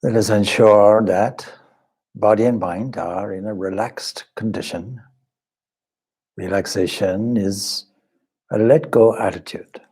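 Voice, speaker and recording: A man leading a guided meditation as a teacher, speaking in measured, deliberate short phrases with pauses and a soft, husky voice. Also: on the low side. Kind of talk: guided meditation, slow spoken instruction.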